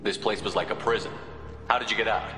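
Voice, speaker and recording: A man playing a steady, reasoning military squad leader in a video game, firm and direct in delivery. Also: lowish voice, quick pace. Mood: probing. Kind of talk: video game cutscene acting. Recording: echoey hall, faint background noise.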